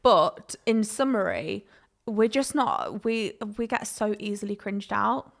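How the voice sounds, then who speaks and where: A woman's voice: medium pitch, animated, slow pace, gravelly. A woman co-hosting a casual podcast with her husband, chatty, laughing often and talking over herself. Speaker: podcast co-host. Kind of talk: casual chat.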